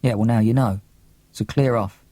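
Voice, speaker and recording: A man's voice: on the low side, fairly even intonation, normal speed, dark tone, no room sound, pitched below the performer's own voice, natural voice. A man voicing a brooding, wounded young loner in an audiobook, muttering curtly and defensively. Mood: hostile. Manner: muttering, curt, rough.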